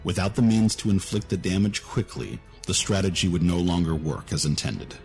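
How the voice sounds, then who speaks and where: Male narrator for scripted fantasy fiction; very low register, background music. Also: animated, normal speed, darkish tone.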